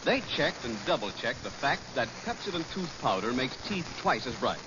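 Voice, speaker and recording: A male announcer reading a 1940s radio commercial in a brisk, persuasive way. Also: medium pitch, very animated. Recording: dull 1940s radio broadcast, faint hiss.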